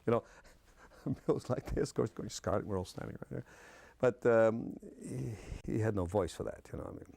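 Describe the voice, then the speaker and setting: A man's voice: on the low side, moderate pace, creaky, darkish tone, no room sound. An older man, a veteran radio actor, reminiscing casually in an interview in a blunt, colloquial way. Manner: blunt, colloquial, punctuated with "you know".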